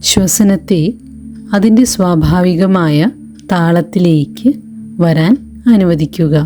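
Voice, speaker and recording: A woman leading a guided meditation in a gentle, calm, deliberate way, leaving long pauses between short phrases. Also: lowish voice, faint music behind. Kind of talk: slow guided meditation narration.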